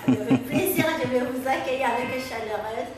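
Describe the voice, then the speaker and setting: A woman's voice: high voice, normal speed, over loud music. Woman hairdresser, echoey salon. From Comoros. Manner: hesitant, repeats words, proud and eager. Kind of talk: casual interview talk while working. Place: hair salon. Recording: echoey room, distant mic, background noise.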